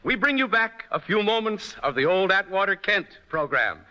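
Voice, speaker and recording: A man's voice: high voice, big pitch swings, normal speed, sharp tone. A man hosting a 1940s radio show, announcing and narrating from a script. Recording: dull, noisy old radio recording, long reverb.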